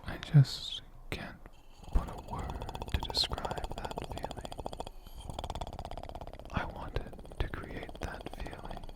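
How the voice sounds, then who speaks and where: A man speaking in a gentle, intimate, soft-spoken ASMR style as a creator addressing an audience. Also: slow pace, long pauses.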